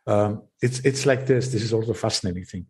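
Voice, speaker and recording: Austrian man hosting a podcast. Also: fairly low pitch. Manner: halting, repeats words, laughs softly. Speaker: podcast host. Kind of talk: casual interview chat.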